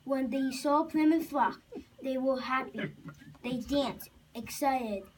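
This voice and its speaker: A child narrating a homemade puppet show haltingly, with restarts. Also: high-pitched, darkish tone. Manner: halting, repeats and restarts phrases. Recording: distant, faintly noisy home recording.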